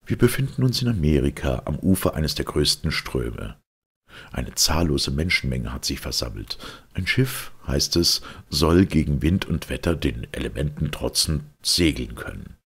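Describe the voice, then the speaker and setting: A man narrating a literary story with solemn, theatrical weight and a gravelly, husky voice. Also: a bass voice, animated, moderate pace, fairly bright tone. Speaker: audiobook narrator.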